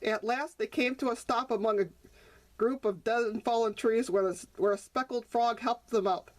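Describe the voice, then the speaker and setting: A woman with a fairly high voice reads a children's picture book aloud. She tells it as a storyteller to young listeners, occasionally tripping on a word and fixing it. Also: steady tone, normal speed. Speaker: author reading own picture book. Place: indoors at a table over the open book.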